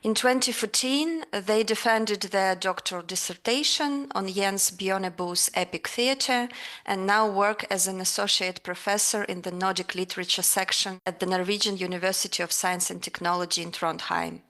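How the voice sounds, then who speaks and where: A woman hosting an interview, politely reading a guest introduction and asking measured questions. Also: mid-range voice, bright, forceful tone. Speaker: podcast interviewer.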